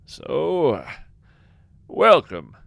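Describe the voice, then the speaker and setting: A man hosting a podcast, narrating in a folksy, musing, poetic way with deliberate phrasing. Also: fairly high pitch, wildly swooping pitch, gravelly, a bit bright, no echo. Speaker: podcast host. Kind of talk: scripted first-person narration in a fiction nature podcast.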